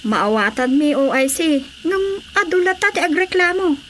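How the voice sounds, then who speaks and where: A woman's voice: high voice, lively intonation, moderate pace, fairly bright tone, slight background noise. A woman with an Ilocano accent acting a respectful, concerned villager in a radio drama comedy.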